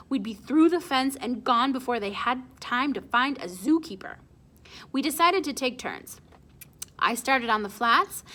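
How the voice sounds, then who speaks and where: A woman reading a children's chapter book aloud in an expressive storytelling style. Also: on the high side. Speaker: storybook read-aloud reader.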